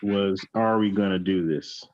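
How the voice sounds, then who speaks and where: A man with a very deep voice offers casual feedback in an informal discussion. His audio is somewhat dull and muffled. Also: a little slow, darkish tone.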